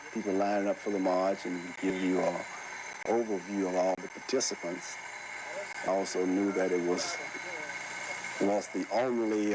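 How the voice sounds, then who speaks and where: An older man, reminiscing in a measured, halting way in a documentary interview. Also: deep voice. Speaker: interview subject, former teacher. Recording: clearly noisy background.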